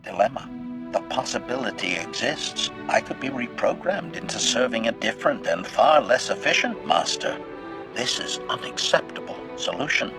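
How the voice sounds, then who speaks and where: A calculating killer droid from a video game, his low voice run through a robotic filter. He speaks in formal, clipped statements, labelling each one, and relishes carnage with deadpan, servile precision. Music plays clearly behind him. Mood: loyal, insistent. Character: cold, calculating male assassin droid, gleefully bloodthirsty, loyal servant. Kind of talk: scripted video game acting. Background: background music.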